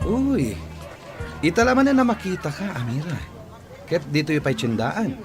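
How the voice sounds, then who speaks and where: A man plays a proud young schemer in a radio play, brooding over being spurned. His pitch swings widely as he speaks emphatically, with a clear murmur of a crowd behind him. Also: big pitch swings, normal speed, music in the background. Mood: insistent. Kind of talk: radio drama acting.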